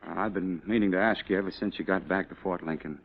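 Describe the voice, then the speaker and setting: An actor playing a respectful, hesitant cavalry officer in mid-century dramatic style. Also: male voice, sits low, fast talker. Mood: anxious, hesitant. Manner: hesitant, deferential. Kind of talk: mid-20th-century American dramatic acting. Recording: dull, noisy old radio-era recording.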